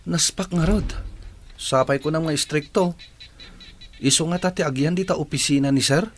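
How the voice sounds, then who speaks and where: An actor playing a dutiful, respectful young working student in a radio drama, with an Ilocano accent. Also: male voice, fairly high pitch, normal speed, slight background noise, natural voice. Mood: curious, worried.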